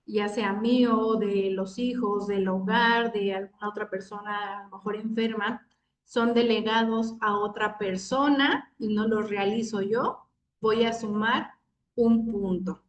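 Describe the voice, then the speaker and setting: A woman's voice: medium pitch, measured pace. A woman giving a workshop, explaining step by step with frequent fillers. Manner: steady, explanatory, with many fillers. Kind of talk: workshop talk. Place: conference room. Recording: echoey room, voice from far off.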